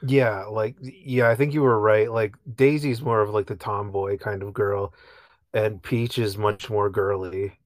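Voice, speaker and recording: A man's voice: fairly low pitch, fairly even intonation, unhurried, no echo, studio quality. A man co-hosting a podcast in casual movie chat, conversational and loose, peppering his sentences with filler words like "like". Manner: conversational, peppers talk with "like" and hedging. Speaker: podcast co-host.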